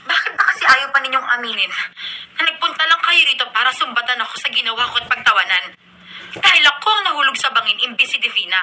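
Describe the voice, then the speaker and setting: A woman's voice: high-pitched, lively intonation, fast talker, pushed and bright, heavily acted, rough recording. A woman playing a defiant, bitter young daughter in a Filipino radio drama, scornful and melodramatic.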